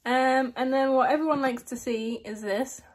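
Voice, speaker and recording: Young woman vlogging on her phone, slight lisp, a bit high voiced, giggly and relaxed. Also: moderate pace. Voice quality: slight lisp from a lip plate. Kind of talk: casual chat to camera. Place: small room indoors.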